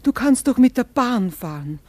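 An anxious breathy older mother. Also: a little high, sing-song, moderate pace, warm tone, dry recording. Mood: worried. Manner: fretful, pleading. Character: anxious elderly mother fretting over her son.

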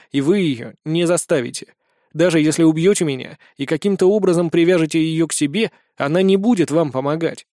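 A man's voice: a little high, a little mellow, dry recording, clean studio sound, close to an everyday voice. A man voicing a stubborn, plain-spoken young male hero in an audiobook, with a casual, firm manner.

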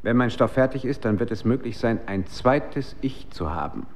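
A man's voice: medium pitch, expressive, normal speed, a little mellow, close to an everyday voice. An actor playing a driven, defensive male researcher in a German radio drama. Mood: intent, irritated.

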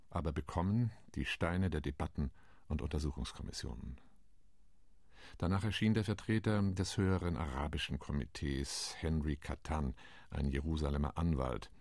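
Very deep, gravelly and husky, a measured indignant diplomat, radio play. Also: male voice, darkish tone, no echo, clean studio sound. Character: Latin American UN delegate, measured, indignant diplomat. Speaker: delegate narrating in monologue.

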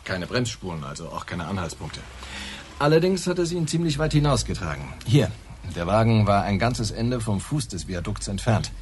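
A man's voice: lowish voice, big pitch swings, moderate pace, darkish tone, a little hiss or hum. A man playing a matter-of-fact investigator explaining a crash scene in a radio crime drama.

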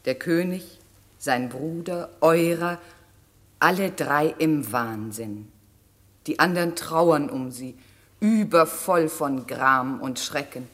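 A slow, low-voiced air spirit. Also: female voice. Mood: sorrowful, pitying. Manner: deliberate, poetic, deferential. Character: gentle, obedient air spirit serving a master. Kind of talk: classic German radio play acting.

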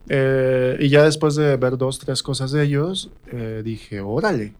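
A man with a central Mexican accent speaks at a middle pitch in a casual interview. He tells stories about himself conversationally, slipping into little re-enactments of what people said. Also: a little slow, a little mellow, smooth. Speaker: interview guest, stage actor. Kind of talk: casual personal storytelling in a radio interview.

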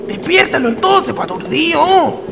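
A man's voice: very high-pitched, animated, normal speed, gravelly, full character voice. A man playing a blunt, matey country traveller in a radio drama, with clipped colloquial speech. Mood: agitated. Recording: noisy ambient radio drama recording.

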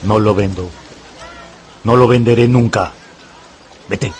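Stern stubborn man in a radio play, low and slow, faint noise behind. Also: fairly even intonation, a little mellow. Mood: firm refusal. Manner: firm, curt. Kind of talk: radio drama acting.

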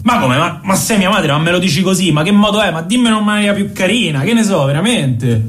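A man's voice: high-pitched, lively intonation, fast. An actor playing a whining, work-shy son in his late twenties with a Roman accent, protesting theatrically in a comedy film. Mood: indignant, disbelieving. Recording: film dialogue under loud music.

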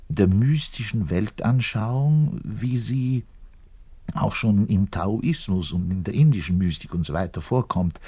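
An actor playing a pompous, aggrieved middle-aged orchestra double bassist, delivering a theatrical, emphatic radio monologue in a husky voice. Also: male voice, dark tone, full character voice. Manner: pompous, emphatic, didactic, swinging between declaiming and muttering.

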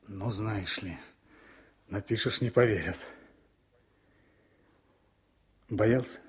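An actor playing a loyal, tender frontline journalist, earnest and measured, in the style of a 1970s Soviet radio drama. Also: male voice, on the low side, slightly rough. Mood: warm farewell. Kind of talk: 1970s Soviet radio play acting and narration.

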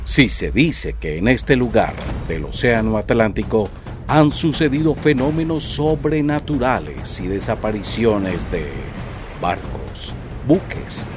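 A man's voice: expressive, a little slow. A man introducing an audio drama episode in a suspenseful, theatrical scripted style. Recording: music and sound effects behind voice. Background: music, rumbling effects.

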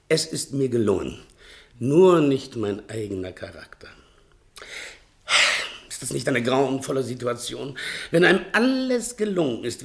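An actor playing a fat, self-pitying servant who fancies himself a playwright, melodramatic and wheedling, in a 1960s German radio play. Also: male voice, fairly high pitch, vocal fry, heavily acted. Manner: melodramatic, wheedling, swinging from hushed to declaiming.

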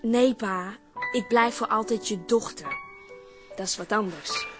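A woman's voice: medium pitch, unhurried, over music, close to an everyday voice. A woman playing a frank, curious, independent grown daughter in a radio drama, direct and probing. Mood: firm, gentle. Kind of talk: Dutch radio drama acting.

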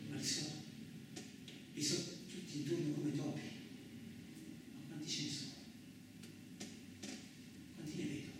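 An actor playing a coarse, suspicious, lonely truck driver in a radio theatre monologue, rambling colloquially with frequent questioning interjections. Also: male voice, fairly high pitch, level tone, unhurried, long pauses, natural voice. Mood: uneasy, hushed. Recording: very echoey, distant, noisy room recording.

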